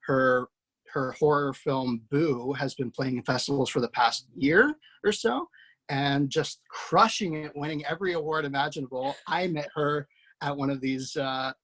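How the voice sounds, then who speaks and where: A man's voice: mid-range voice, fairly bright tone, rough recording. A man hosting over a video call, casually introducing a cast with effusive praise, loose and full of fillers. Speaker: host introducing a table-read cast.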